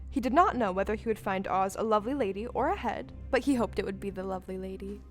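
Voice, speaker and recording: A woman's voice: medium pitch, quick pace, soft background music. A woman giving scripted storybook narration in an audio play.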